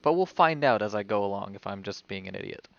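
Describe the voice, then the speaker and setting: A man's voice: on the low side, animated, fast talker, vocal fry, a bit bright, lo-fi sound. A man streaming a video game, giving casual live commentary and thinking aloud as if talking to himself. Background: video game music.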